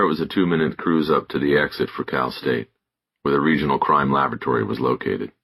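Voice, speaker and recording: A man reading scripted narration of a crime thriller audiobook in a gravelly, husky voice. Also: rumbling low, bright, forceful tone.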